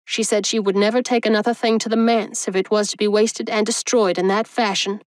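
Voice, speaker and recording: A woman's voice: medium pitch, level tone, fairly quick, no room sound, natural voice. A woman voicing a solemn, chatty middle-aged housekeeper who tells stories at length in a storybook reading. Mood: solemn prattle. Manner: solemn, prattling, keeps saying dear.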